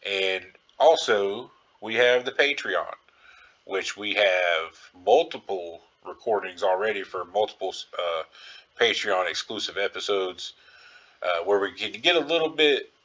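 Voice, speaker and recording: A man with a fairly low but forceful, bright voice hosts a casual solo podcast. He rambles and muses, speculating as he goes. The audio is slightly dull and band-limited. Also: a little slow. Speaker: podcast host.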